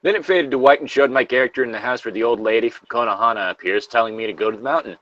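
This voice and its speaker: A man's voice: medium pitch, very fast, fairly bright tone, dry recording, highs cut off. A man reading horror stories as a co-host, with casual, sardonic, sweary asides. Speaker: co-host reading video game creepypastas.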